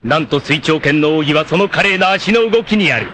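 A man voicing a vain, treacherous martial-arts villain in an anime, with a theatrical, haughty, drawn-out delivery. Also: a little high, lively intonation, a bit bright, a little hiss or hum. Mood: gloating, cruel. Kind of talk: dramatic anime voice acting.

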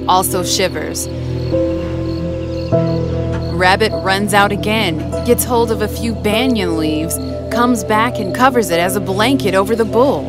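A woman narrates a children's story in a clear, even way. Her voice sits in a middle pitch, and loud music plays behind her throughout. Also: measured pace, pauses often.

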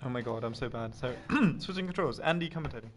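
A man's voice: medium pitch, expressive, fast talker. A man chatting casually while playing a video game, flustered and self-deprecating, swearing now and then. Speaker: student radio host playing a video game. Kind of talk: casual gameplay chat. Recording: loud game noise and gunfire behind.

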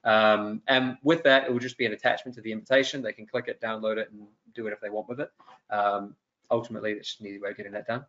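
A young man presents an instructional demo in an informal, explanatory style. His voice sits at a middle pitch, peppered with ums. The audio is dull and lo-fi, as on a video call. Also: a bit bright. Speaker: webinar presenter giving a software demonstration. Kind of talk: conversational instructional walkthrough. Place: indoor room, on a video call.

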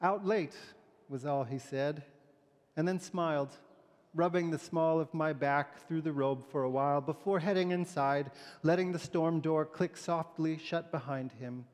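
A middle-aged man speaks from a pulpit in a notably high voice for a man. He preaches and reads in a gentle, conversational, slightly halting way, with the long reverb of a large church around him. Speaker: pastor. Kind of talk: sermon with poem and scripture readings, conversational.

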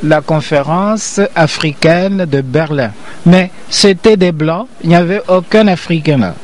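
An older man explains himself with the insistence of a lecturer, circling back and repeating his points. His voice sits fairly high for a man. Faint city noise hums behind him. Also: a little slow. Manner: emphatic, repetitive, lecturing. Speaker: interview guest, professor. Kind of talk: interview.

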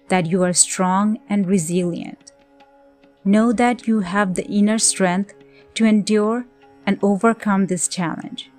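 A woman leading a scripted guided meditation in a gentle, soothing, measured way with pauses. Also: medium pitch. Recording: voice over background music. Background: music.

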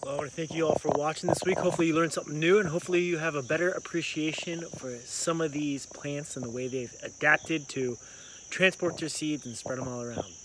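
A man's voice: fairly high pitch, fairly even intonation, quick pace, background noise. Easygoing man guiding a nature walk. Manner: easygoing, explanatory, loose filler words. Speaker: environmental educator. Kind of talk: casual outdoor nature walk lesson. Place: outdoors in woods and trail meadow. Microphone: phone camera at arm's length. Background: faint crickets and insects.

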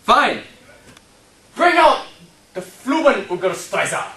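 A man's voice: very high voice, sing-song, takes it slowly, pushed and bright, heavily acted. A young man playing a domineering, bullying farm animal rebel leader in an amateur skit, with emphatic, declamatory delivery. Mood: accusing, angry. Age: young adult. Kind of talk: amateur skit acting, oratory and shouted orders. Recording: echoey room, noisy camcorder sound.

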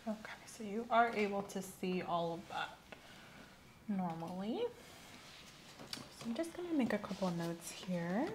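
A young woman playing a calm, methodical medical examiner in a soft-spoken ASMR roleplay, quietly reassuring and procedural. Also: medium pitch, background noise. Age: young adult. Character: calm, methodical female eye-exam clinician. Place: small indoor room set up as an exam room.

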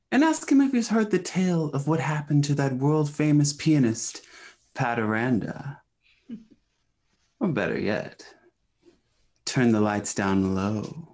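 A man's voice: mid-range voice, warm tone. A young man playing an eerie, teasing 1940s horror radio host with theatrical, wry storytelling. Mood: sinister, inviting. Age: young adult. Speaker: radio show host and narrator.

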